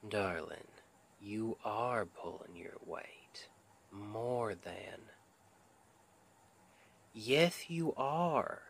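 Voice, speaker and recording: A male actor plays a sweet Southern US husband in an intimate ASMR roleplay. He speaks very slowly at a middling pitch, with a folksy drawl. He is tender, protective and a little stubborn in his soothing care. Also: fairly bright tone, exaggerated voice. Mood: tender, patient, then reassuring, earnest.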